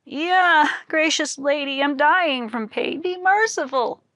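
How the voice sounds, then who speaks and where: A woman's voice: high-pitched, slow pace, sharp tone, dry recording, voice pushed up, exaggerated voice. A woman voicing a cowardly young male scholar in a folktale, humble and imploring. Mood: begging.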